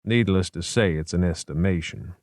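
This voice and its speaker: A man voicing a genteel, erudite male investigator in a measured, formal, wry manner, in an audiobook. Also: deep voice, darkish tone, dry recording, clean studio sound. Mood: calm, wry.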